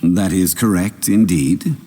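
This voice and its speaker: Courtly game scholar-consultant, male: low, dark voice, composed, polite, supple intonation. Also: slow, a little hiss or hum. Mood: calmly disagreeing. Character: calm, learned, courteous gentleman consultant. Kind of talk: video game voice acting.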